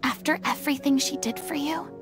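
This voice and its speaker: A woman's voice: high voice, brisk, over music, close to an everyday voice. An actress voicing a commanding, principled woman in a video game, lecturing sternly but with care. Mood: earnest. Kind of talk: dramatic video game voice acting.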